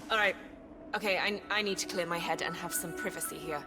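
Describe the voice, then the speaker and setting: Strained, guarded operative. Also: female voice, on the low side, a little fast, sharp tone. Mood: stressed, pleading. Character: weary female operative under protection, guarded. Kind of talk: naturalistic game acting. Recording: music behind the voice.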